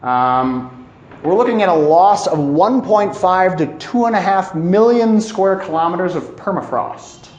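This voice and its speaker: A man presenting an informal educational talk, speaking emphatically and haltingly, often repeating key phrases for weight. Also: sits high, expressive, a little mellow, highs cut off. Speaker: presenter giving a climate talk. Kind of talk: informal educational lecture to a live audience. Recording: echoey room.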